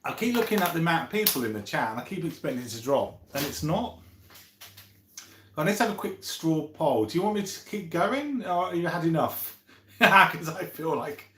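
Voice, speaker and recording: A man's voice: on the high side, big pitch swings, normal speed, fairly bright tone, slight background noise. A man in his fifties hosting a casual live stream, chatting in a rambling, chummy way as he answers viewers. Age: 50s. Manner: rambling, chummy, thinking aloud. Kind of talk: casual chat, reading out and answering viewer comments. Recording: roomy echo.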